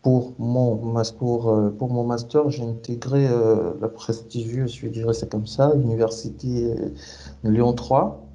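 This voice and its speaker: A man's voice: mid-range voice, little pitch movement, measured pace, dark tone. A man in his thirties from Cameroon, answering interview questions about his career, hesitant, with many fillers and restarts. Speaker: SAP consultant guest.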